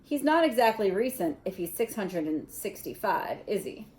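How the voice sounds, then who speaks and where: A woman's voice: mid-range voice, big pitch swings, normal speed, darkish tone, close to an everyday voice, rough recording. A woman reading a storybook aloud as a grumpy, sardonic young schoolboy who talks in a blunt grumble.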